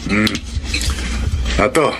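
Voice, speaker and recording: A man's voice: drawn-out words, background noise. A man playing a stern father in a Tagalog radio drama, reproachful and questioning. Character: stern, scolding father of a wayward student son.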